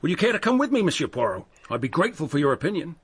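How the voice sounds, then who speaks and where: An actor playing a polite, affable English police inspector with an English accent, courteous and probing in a radio drama. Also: male voice, fairly high pitch, sing-song, very fast, over music. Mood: businesslike.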